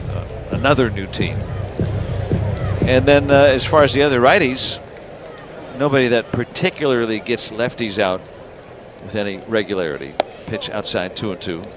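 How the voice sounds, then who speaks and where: American man calling baseball over steady noise. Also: fairly low pitch. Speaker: baseball play-by-play announcer. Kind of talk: live radio sports commentary.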